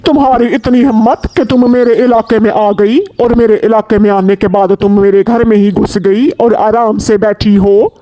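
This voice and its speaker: A man voicing a sly, gruff male kite bird who feigns kindness, in theatrical, emphatic Hindi cartoon style. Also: high voice, level tone, moderate pace, hardly any pauses, mellow, dry recording, close to an everyday voice. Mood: angry, indignant. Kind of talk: Hindi animated moral-story cartoon acting.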